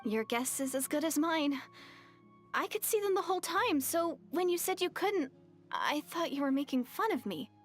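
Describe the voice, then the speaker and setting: A woman's voice: sits high, expressive, normal speed, soft background music. A woman playing an earnest, flustered young girl in an anime-style video game, shy and hesitant in manner. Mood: calmer, sheepish.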